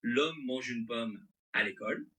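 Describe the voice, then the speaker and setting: A man's voice: medium pitch, unhurried, bright, forceful tone. A male AI assistant voice with a mixed French accent and American-sounding intonation, replying in an agreeable, accommodating, conversational way.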